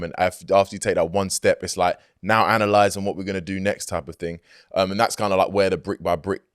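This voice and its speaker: A young man with a deep, smooth, resonant voice chats easily as an interview guest. His talk is laid-back and loose, full of filler phrases. The sound is close and dry, as in a studio. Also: dry recording. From Greek heritage, grew up outside Greece. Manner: laid-back, peppered with "like" and "you know what I mean". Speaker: hip-hop artist guest. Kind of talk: casual interview chat. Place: recording studio.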